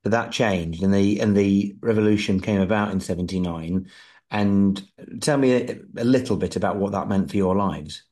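Courteous host with a deep, mellow voice. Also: male voice, normal speed. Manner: courteous, gently probing. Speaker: podcast host. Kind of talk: conversational interview.

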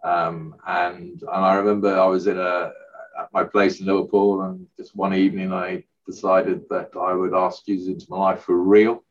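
A middle-aged man from Northern Ireland answering interview questions, hesitant, with many pauses and restarts. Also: low-pitched, little pitch movement, recorded from a distance, highs cut off. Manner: hesitant, lots of uhs and restarts. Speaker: guest, charity chief executive.

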